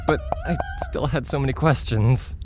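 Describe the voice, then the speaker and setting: A woman's voice: very deep voice, normal speed, noisy background. A woman voicing a nerdy, indignant young man fascinated by monsters, flustered and pedantic, in an audio drama. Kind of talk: audio drama character acting.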